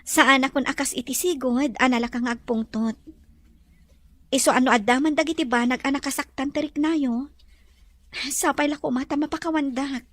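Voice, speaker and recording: A woman playing a gentle, repentant lady of the mansion in an audio drama, soft and earnest. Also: high voice, fairly even intonation, normal speed, no room sound, lightly acted. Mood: apologetic.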